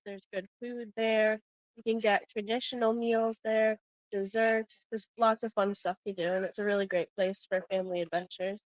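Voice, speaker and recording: An American woman talks casually as a travel co-host, listing details in plain words. Her pitch is average for a woman. The recording is dry and a touch dull. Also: no room sound. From United States. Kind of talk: casual descriptive chat.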